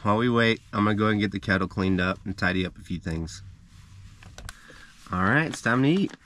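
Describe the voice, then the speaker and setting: Deep-voiced guy in his 30s talking to the cam inside his van, laid back, bit of faint noise behind. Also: fairly quick. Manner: relaxed, chatty, walks through each step. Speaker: travel vlogger. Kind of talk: casual vlog narration to camera. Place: inside a parked van, small enclosed space. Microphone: camera mounted in the vehicle.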